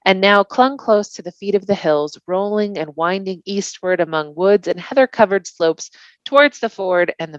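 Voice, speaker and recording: A woman reading a novel aloud as an expressive storybook narration. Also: medium pitch, dry recording, lo-fi sound. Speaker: volunteer reader.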